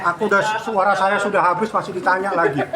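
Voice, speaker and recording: A man with a hoarse, worn voice tiredly declines to answer. He talks fast when he does speak. A thick crowd surrounds him. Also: high voice, flat delivery. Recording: dense crowd.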